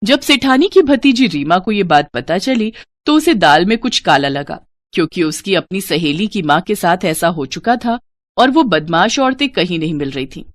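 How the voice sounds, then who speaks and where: A woman's voice: on the low side, expressive, moderate pace, no echo. A woman storyteller reading a scripted moral tale in narration style.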